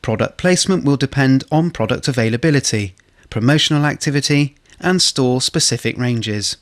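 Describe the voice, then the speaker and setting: A man reading scripted instructional narration for a corporate training film, in a measured way. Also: mid-range voice.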